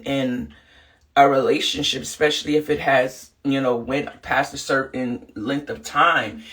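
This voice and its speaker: A woman's voice: low voice, fairly bright tone, far from the mic, low-quality recording. A woman hosting a podcast, a little congested from the flu, talking earnestly and loosely with lots of fillers. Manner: earnest, rambling, many fillers. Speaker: podcast host and counselor. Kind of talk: casual motivational talk.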